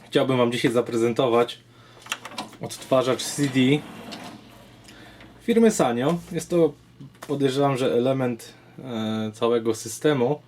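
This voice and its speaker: A man's voice: medium pitch, measured pace, pauses often, a little mellow, slight background noise. A man hosts a casual showcase of audio gear, explaining in short, unscripted, somewhat hesitant phrases. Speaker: host of an audio gear repair channel. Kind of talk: casual product showcase vlog.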